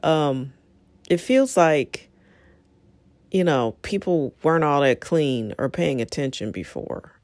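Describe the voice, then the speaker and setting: A woman's voice: low-pitched, lively intonation, slow, darkish tone, no room sound. Casting: middle-aged female talk host, lightly scratchy, conversational and encouraging, recorded dry. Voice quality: a little scratchy. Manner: conversational, encouraging, rambling asides. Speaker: show host. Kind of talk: casual solo talk to listeners.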